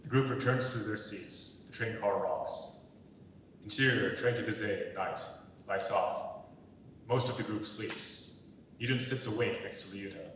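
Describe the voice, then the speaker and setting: A man's voice: fairly low pitch, measured pace, fairly bright tone. A man reading stage directions as scripted narration, heard from far away in an echoey hall. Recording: echoey hall, far from mic, faint noise.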